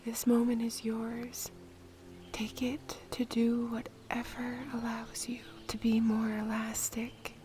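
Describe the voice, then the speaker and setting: A woman guiding a meditation in a soft, breathy, whispery voice, with a soothing, gentle and measured manner. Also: a little high, completely flat delivery. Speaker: meditation guide. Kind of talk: guided meditation, soft-spoken narration. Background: music.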